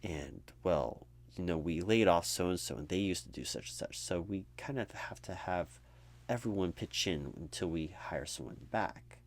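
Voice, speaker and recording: A man doing a conversational ASMR roleplay as an apathetic, low-energy recruiter, rambling in a jaded, sardonic way. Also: animated, moderate pace, gravelly. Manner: rambling, sardonic asides mimicking corporate talk.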